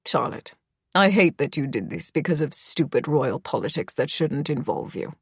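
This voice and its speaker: A woman voicing a gruff, kingly middle-aged stepfather with a deep, Germanic-tinged voice and a weary, fatherly manner. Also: big pitch swings, moderate pace, no room sound, clean studio sound, heavily acted. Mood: weary, apologetic.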